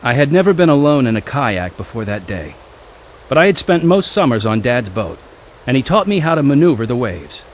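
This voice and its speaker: A man's voice: mid-range voice, sing-song, a little fast, natural voice. An actor narrating and playing a young man looking back on his wild youth in a radio drama.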